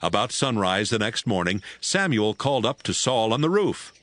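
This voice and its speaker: A male narrator reads scripture with a fairly low voice. His measured storytelling has lively, expressive intonation.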